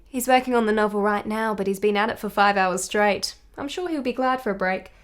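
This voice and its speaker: A woman's voice: medium pitch, brisk, lightly acted. A woman playing a gracious, intelligent young wife in a period radio play, polite and articulate. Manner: polite, articulate, self-possessed. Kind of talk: radio drama acting.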